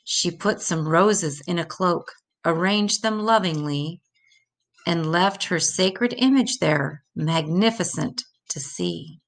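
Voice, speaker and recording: Slow-reading older woman storyteller. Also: lowish voice. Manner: measured, deliberate read-aloud delivery. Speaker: storyteller reading a children's religious picture book aloud. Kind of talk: scripted children's story reading in rhymed verse.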